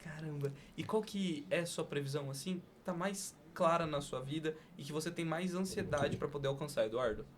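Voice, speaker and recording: A man's voice: on the high side, a little fast, hardly any pauses. A man hosting a casual podcast interview, talking informally and with emphasis.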